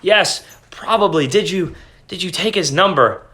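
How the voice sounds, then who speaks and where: A man's voice: high voice, lively intonation, unhurried, bright, forceful tone, full character voice. A man voicing a panicked young wife who stammers breathlessly in a storybook reading. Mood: anxious.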